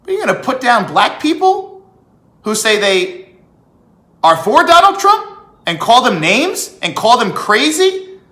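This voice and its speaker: A man giving an emphatic opinion monologue as a vlog host, with rhetorical questions and deliberate pauses. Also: extremely high pitch, huge pitch swings, sharp tone. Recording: echoey room.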